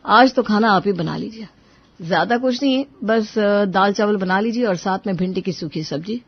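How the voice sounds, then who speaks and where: A woman's voice: mid-range voice, normal speed, husky, no echo, dull audio. A woman voicing a practical young husband and son in a cartoon story, explaining and placating. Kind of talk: Hindi moral-story cartoon dubbing.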